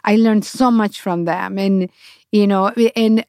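Interview guest: middle-aged woman, Honduran accent, Spanish native, mid-pitched, conversational storytelling with halting word searches. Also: fairly even intonation, a little mellow, no room sound, studio quality.

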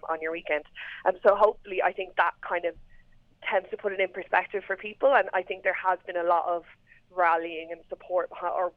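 A woman's voice: fairly low pitch, no echo. A woman giving a casual interview as a guest, talking informally with plenty of "like". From Ireland. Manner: informal, frequent "like". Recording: narrow phone-like remote line.

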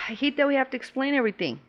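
A woman's voice: high-pitched, big pitch swings, fast, a bit bright, muffled recording. A woman co-hosting a podcast in casual chat, with giggly, crude banter. English is not her first language. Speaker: podcast co-host.

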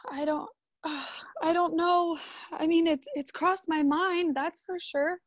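A troubled, weary young wife and daughter , acted by a woman in a radio drama. Her voice is high and hesitant, on a dull, lo-fi recording with no room echo. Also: steady tone, takes it slowly. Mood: frustrated, troubled. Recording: dull, lo-fi sound.

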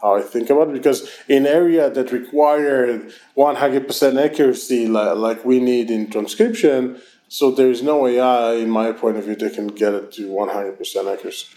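A man with an Israeli accent, a company founder answering interview questions over an online call in a quick, loose way with frequent restarts. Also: medium pitch, dark tone. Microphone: remote online call.

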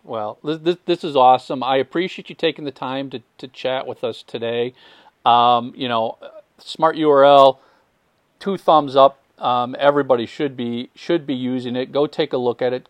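A man's voice: mid-range voice, dry recording. Enthusiastic male podcast host. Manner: enthusiastic, stumbling restarts. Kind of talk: casual interview chat.

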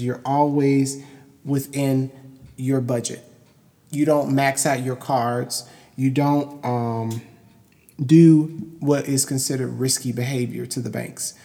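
Young man, lower voice, very echoey room. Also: warm tone. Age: young adult. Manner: emphatic and repetitive, stressing key points. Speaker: podcast host. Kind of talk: informal educational solo podcast talk. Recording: long hollow room reverb.

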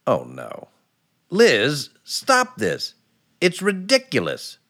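Exasperated married man, very animated intonation, unhurried pace, old radio comedy. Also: on the high side, exaggerated voice. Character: exasperated, flustered husband. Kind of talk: 1940s radio comedy acting.

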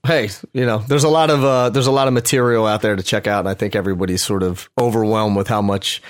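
A man's voice: lowish voice, fairly even intonation, quick pace. A man hosting a podcast, talking with an eager, effusive enthusiasm. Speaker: podcast host. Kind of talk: casual interview conversation.

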